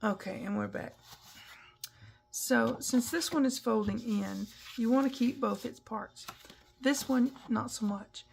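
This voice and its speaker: A slightly croaky woman walks through a craft project step by step, chatty and unhurried. Her voice sits a bit high, and she takes her time between phrases. A faint hiss runs under the recording. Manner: chatty, instructive, talks while working with hands. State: croaky, clearing her throat. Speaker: papercraft tutorial host. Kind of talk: casual step-by-step craft demonstration.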